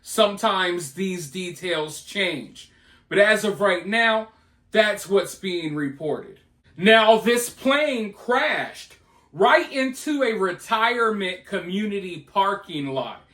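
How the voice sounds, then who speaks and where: A man's voice: high-pitched, lively intonation, takes it slowly, fairly bright tone. A man giving casual, opinionated commentary on breaking news as the host of a vlog. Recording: roomy, echoey voice recording.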